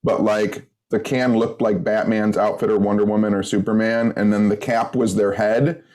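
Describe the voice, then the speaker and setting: A man's voice: lowish voice, little pitch movement, moderate pace, darkish tone. A man in his fifties co-hosting a podcast, rambling through memories in hesitant casual talk full of "like". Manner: rambling, nostalgic and hesitant, lots of "like". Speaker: podcast co-host. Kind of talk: casual banter.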